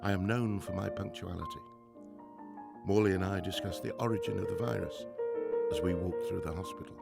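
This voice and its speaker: A man's voice: low-pitched, steady tone, fairly quick, gravelly. An actor playing a stern, driven widowed professor and father in a 1920s radio drama, with a formal, precise delivery. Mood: sombre, reflective. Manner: formal, precise, clipped scolding. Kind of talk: radio drama acting, diary narration. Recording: music under the voice.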